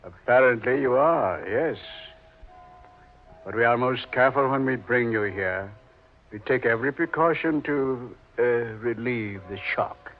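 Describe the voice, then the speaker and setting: A man playing a suave, mocking Devil who ferries souls, speaking with slow, sardonic deliberation in an old radio horror drama. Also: medium pitch, faint music behind, lightly acted. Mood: suave, taunting. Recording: muffled old-time radio recording.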